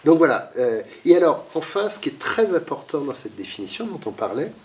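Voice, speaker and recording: A man's voice: a little high, very animated, a little fast, recorded from a distance. A man with a cold giving a talk to a library audience, lecturing with lots of fillers and restarts, coughing now and then. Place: library meeting room.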